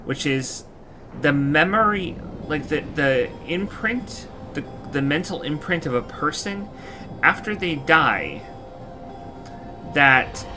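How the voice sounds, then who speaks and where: A man giving casual, rambling let's play commentary, halting and repeating himself as he searches for words. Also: fairly high pitch, animated, background noise. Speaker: game streamer. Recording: music behind the voice. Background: game music.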